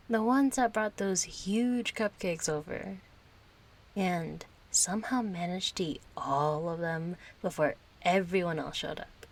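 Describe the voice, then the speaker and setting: A woman acting a kind, chatty young friend in an intimate audio roleplay, casual, playful and a little hesitant. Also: on the low side. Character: kind, chatty young female friend, gently yearning for closeness.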